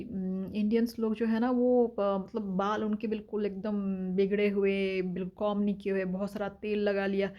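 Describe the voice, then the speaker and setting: A woman's voice: mid-range voice, warm tone, muffled recording. A woman from India talking frankly in a casual vlog, with lots of filler sounds and opinions. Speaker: vlogger. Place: home in Denmark.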